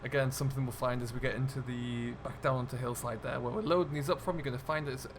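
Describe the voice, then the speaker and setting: A man's voice: mid-range voice, fairly even intonation, fast talker. Chatty man over noisy vehicle sounds. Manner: chatty, easygoing running commentary addressed to viewers. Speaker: host, gaming video creator. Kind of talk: casual let's-play commentary of a farming simulator.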